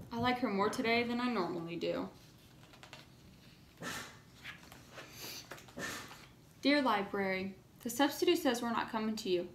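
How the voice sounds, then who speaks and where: A woman reading as an earnest, indignant young schoolchild in a storybook, with expressive emphasis. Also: a little high, lightly acted. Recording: echoey room, faint background noise.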